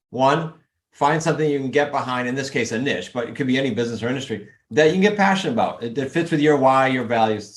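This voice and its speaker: Man, New England accent, podcast guest pitching his program; roomy echo on the voice. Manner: energetic, pitching steps and links. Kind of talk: casual interview talk. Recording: echoey room.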